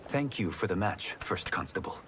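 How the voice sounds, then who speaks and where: A man's voice: low-pitched, very animated, moderate pace, background noise. A man playing a humble, earnest young fighter in a cinematic game, speaking politely and sincerely. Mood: hopeful, respectful. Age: young adult. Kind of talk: cinematic video game acting.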